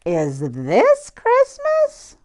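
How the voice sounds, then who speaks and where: A woman voicing a curious, excitable young female hippo in a picture book, asking wide-eyed questions in drawn-out words. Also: a little high, full character voice. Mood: excited, hopeful.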